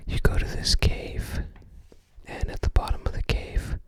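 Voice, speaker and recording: An ASMR creator whispering casual, musing commentary on a game guide, often tentative while recalling details. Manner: unhurried, musing, tentative recollection.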